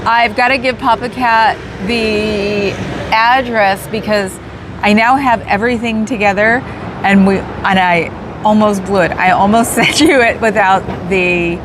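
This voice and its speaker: A woman's voice: medium pitch, fairly even intonation, unhurried, background noise. A woman chatting casually on a livestream, thanking viewers by name and laughing often. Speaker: livestream host. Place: in a parked car outdoors.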